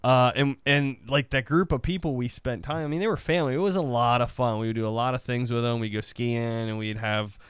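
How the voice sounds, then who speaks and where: A man's voice: medium pitch, steady tone, fairly quick, few pauses, clean tone, no echo. A man in his thirties from the Midwest, hosting a casual comedy podcast, rambling and laughing as he tells stories. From Midwest US (Iowa). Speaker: podcast host. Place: small home studio room. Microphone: headset and boom mic.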